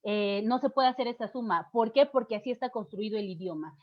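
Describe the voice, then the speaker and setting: A woman's voice: a little high, brisk. Mexican woman teacher lecturing, muffled. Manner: explanatory, poses questions and answers them, frequent fillers and restarts. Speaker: literature teacher giving a lecture. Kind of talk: academic lecture to students.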